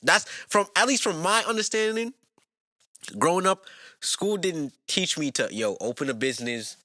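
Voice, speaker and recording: A young man from New York co-hosts a podcast and keeps repeating his own hype phrases in slangy chat. His pitch swings widely and his tone is very bright and sharp. The recording is dry and close. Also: expressive, normal speed, no echo. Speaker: podcast co-host.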